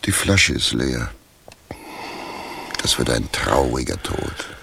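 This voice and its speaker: An actor playing a gloomy, laconic, jobless middle-aged tramp in a German radio drama, with a very gravelly voice. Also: male voice, extremely low pitch, very animated, takes it slowly, background noise, exaggerated voice. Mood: morose. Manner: laconic, morose. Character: gloomy freezing male Berlin tramp, jobless former lathe operator.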